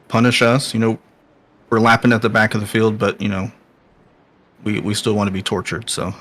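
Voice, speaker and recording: Lowish-voiced Midwestern American man, joking casually. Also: steady tone, normal speed, fairly bright tone. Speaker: sim racing driver guest.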